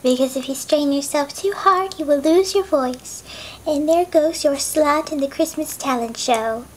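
Sweet-but-bossy female teacher, put on by the performer; very high voice, patronizing, slight hiss behind. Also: a little slow. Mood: sugary. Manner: condescending, calls others dearie.

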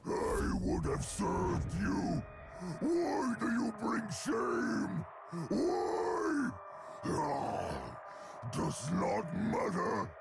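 Casting: hulking vengeful troll brute, fantasy game, very slow gravelly bellow pushed extremely high, broken grammar. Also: male voice, sing-song, over music, exaggerated voice. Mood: anguished, bitter. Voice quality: gravelly, guttural roar. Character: huge brutish vengeful hairy male troll-like berserker. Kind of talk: fantasy video game voice acting.

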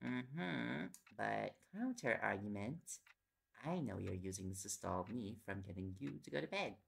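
A young man playing an affectionate, teasing reporter boyfriend in an intimate audio roleplay, with a gravelly voice and playful, coaxing talk. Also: fairly low pitch, lively intonation, a little slow, exaggerated voice. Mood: chatty, fond. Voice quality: gravelly, creaky. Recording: loud background noise with clicks. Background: ticking and clicking sounds.

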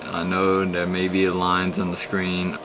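Low-voiced young man on a lo-fi phone. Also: monotone, a little slow. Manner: rambling, restarts, explains as he clicks. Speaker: vlogger showing an old computer. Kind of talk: casual off-the-cuff screen walkthrough. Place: indoors at a home computer desk. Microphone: phone camera held at the screen. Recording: dull lo-fi phone audio with hum.

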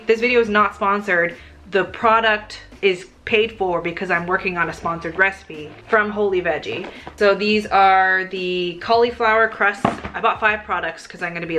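A woman's voice: medium pitch, noisy background. A woman in her thirties, a food vlogger, talking casually and candidly about her groceries in her home kitchen to a camera close in front of her. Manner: candid, chatty, frequent "like" fillers. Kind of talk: casual grocery haul chat. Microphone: camera on a counter, close framing.

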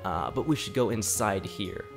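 A man's voice: lowish voice, normal speed. A man in his twenties with a cold, rambling through a story while casually commenting on his gameplay. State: has a cold. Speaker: let's play host. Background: game music.

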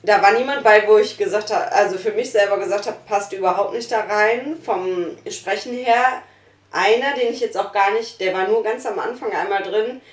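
A woman's voice: medium pitch, moderate pace. A woman reviewing in a casual couch chat, talking with enthusiasm. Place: small living room, on a couch. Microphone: far camera mic. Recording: roomy, distant mic, faint music.

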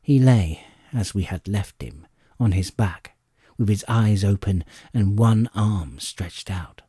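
A man narrating a classic adventure audiobook in an expressive, suspenseful storytelling manner. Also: lowish voice, slow pace, slightly rough, no room sound, studio quality. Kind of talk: dramatic scripted storybook narration.

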